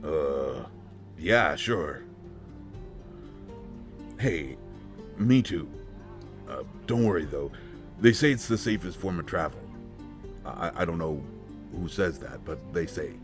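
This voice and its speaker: Man acting a deep-voiced, halting country guy. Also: animated, faint music behind. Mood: nervous, reassuring. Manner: hesitant, folksy, halting. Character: protective, nervous country man, plain-spoken and old-fashioned. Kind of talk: intimate roleplay audio drama acting.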